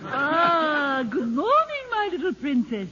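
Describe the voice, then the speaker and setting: A man's voice: very high voice, wildly swooping pitch, slow pace, a crowd in the background, exaggerated voice. A man playing a wicked, cackling old queen who wheedles with false sweetness in a 1940s radio comedy. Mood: wheedling. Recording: muffled, faintly noisy old radio.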